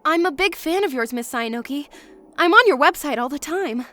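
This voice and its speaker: High-pitched, earnest teenage schoolgirl voiced by a woman. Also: animated, normal speed, sharp tone, soft background music. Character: earnest, emotional teenage schoolgirl, easily flustered. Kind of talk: anime game fan dub acting.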